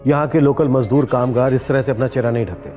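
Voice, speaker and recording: A man's voice: medium pitch, steady tone, quick pace, mellow, faint music behind, close to an everyday voice. An actor playing a stern senior police officer who gives firm orders to his team. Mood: commanding. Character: stern adult male police chief.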